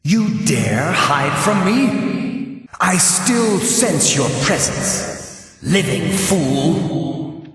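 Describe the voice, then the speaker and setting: Menacing ghost in cavernous reverb. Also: male voice, high-pitched, very animated, fairly bright tone, faint music behind, heavily acted. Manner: theatrical, slow and ominous. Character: menacing male undead ghost, haughty and solemn. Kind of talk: video game character dialogue. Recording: cavernous long reverb, distant.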